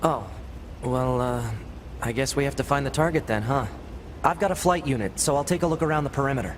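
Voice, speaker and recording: A man's voice: mid-range voice, fairly quick, a little hiss or hum, natural voice. An actor playing an eager, friendly young male android scout in a video game, chatty and informal. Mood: breezy, helpful. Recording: loud music behind the voice.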